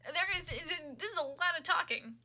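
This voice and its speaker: A woman's voice: very high voice, lively intonation, brisk, pushed and bright. A woman reading fan fiction aloud with casual, irreverent and sometimes profane commentary.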